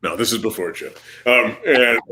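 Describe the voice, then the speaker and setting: A man's voice: mid-range voice, sing-song, unhurried, pushed and bright. A man appearing as a writer guest on an interview podcast, chatting casually in a self-deprecating, joking manner. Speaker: comic book writer, guest.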